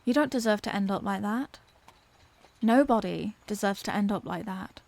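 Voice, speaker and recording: A woman's voice: mid-range voice, normal speed, gravelly, darkish tone, lightly acted. A woman voicing an anguished, tender Victorian lady vampire in an intimate audio roleplay, speaking in formal, earnest phrases. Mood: distressed, pleading. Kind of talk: scripted audio roleplay, intimate dramatic monologue.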